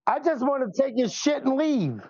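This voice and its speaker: A man with a creaky, gravelly voice of middling pitch banters casually during a tabletop game. The sound is slightly dull and lo-fi. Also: lively intonation, moderate pace, a little mellow.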